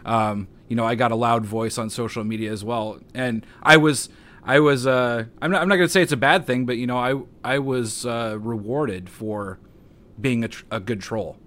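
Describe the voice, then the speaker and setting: A man speaking calmly and hesitantly as a guest in a casual conversation, with frequent fillers. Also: mid-range voice, moderate pace. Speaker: guest, online personality.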